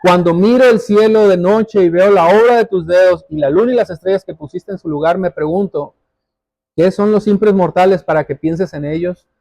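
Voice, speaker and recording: A man's voice: high-pitched, normal speed, darkish tone, no room sound. A man preaching a church sermon, reading scripture and exhorting, repeating phrases for emphasis.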